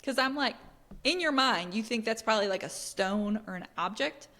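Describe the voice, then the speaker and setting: A woman's voice: fairly high pitch, animated, moderate pace, pushed and bright. Woman chatting casually in a reverberant hall. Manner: informal, explanatory. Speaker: book podcast co-host. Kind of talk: casual book discussion.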